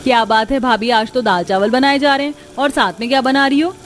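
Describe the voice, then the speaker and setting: A woman's voice: high-pitched, steady tone, fairly quick, lightly acted. A woman voicing a playful, chirpy young sister-in-law who loves food, in a cartoon story. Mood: coaxing. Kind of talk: Hindi cartoon story dialogue.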